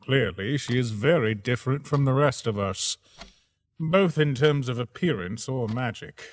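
A man's voice: medium pitch, normal speed, natural voice. A man voicing a stern, pompous skeleton disciplinarian with formal speech in a comic dub. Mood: haughty. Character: stern, haughty skeleton commander, scolding disciplinarian.

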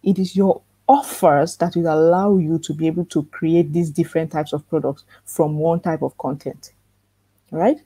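Woman host, calm soft-spoken voice, mellow and breathy, earnest workshop coach on a webinar mic. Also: deep voice, moderate pace, no room sound. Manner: earnest, repetitive coaching, asks rhetorical questions. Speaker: workshop host teaching authors. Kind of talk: live online workshop lecture. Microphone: computer mic in a live webinar.

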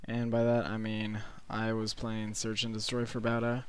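An eighteen-year-old man with a hoarse, sore-throated voice, rambling through casual late-night gameplay commentary from his bedroom with lots of fillers. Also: on the low side, monotone. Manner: rambling, halting with many fillers. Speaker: gaming commentator.

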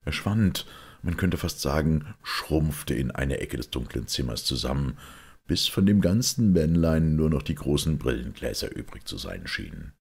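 A man narrating a literary story for an audiobook in a deliberate, dramatic storytelling manner. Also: extremely low pitch, big pitch swings, creaky, slightly rough.

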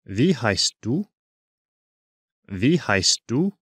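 A man teaching a language course, saying each short phrase twice in slow, careful drills. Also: mid-range voice, sing-song, fairly bright tone, slightly rough, dry recording, clean studio sound.

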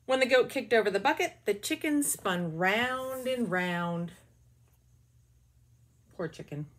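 Breathy woman reading picture books aloud. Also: medium pitch. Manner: lively, drawn-out storybook reading with soft asides. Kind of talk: children's read-aloud with comments. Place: small bedroom at home.

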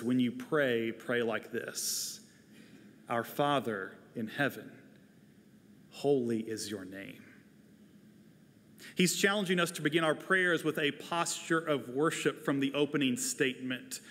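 A man's voice: a little high, fairly bright tone. American man preaching in a reverberant hall. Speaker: pastor. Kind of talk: sermon. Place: church sanctuary, at a pulpit. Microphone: lapel microphone. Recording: reverberant large church hall.

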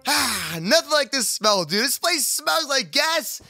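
A man voicing an excitable, whiny little moose boy in a kids' gaming cartoon, stammering and slangy. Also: very high-pitched, measured pace, vocal fry, faint music behind, exaggerated voice. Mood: cocky. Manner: stammering, peppering speech with slangy address.

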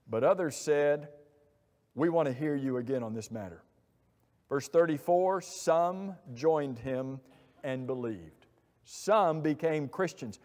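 A middle-aged man preaching a sermon from a church pulpit, animated and asking many rhetorical questions. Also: fairly high pitch. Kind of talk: expository sermon. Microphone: lapel mic. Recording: long hall reverb.

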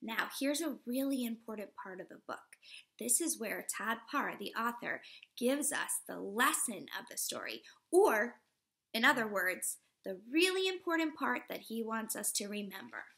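A woman in her thirties, a teacher reading a picture book aloud to young children in an expressive, instructive way. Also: a little high, moderate pace, vocal fry. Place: living room at home, indoors.

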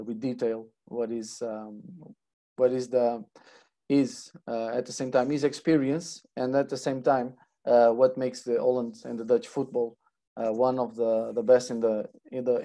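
A man's voice: medium pitch, flat delivery, a little slow, dark tone. A man in his thirties with a Portuguese accent, hosting and interpreting an online seminar over earphones on a video call, hesitant with many fillers and restarts. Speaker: webinar host and interpreter. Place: plain room indoors. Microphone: earphone headset on video call.

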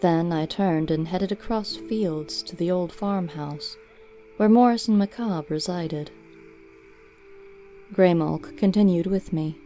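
A woman's voice: fairly low pitch, takes it slowly, warm tone, faint music behind. A woman narrator giving a storybook reading of fiction.

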